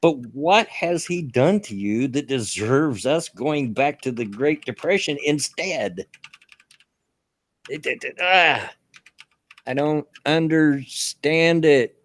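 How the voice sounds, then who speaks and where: A man's voice: on the high side, expressive, takes it slowly. A man with a Southern US accent chatting casually as a podcast host, stammering through restarts and chuckling often. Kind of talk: casual political chat.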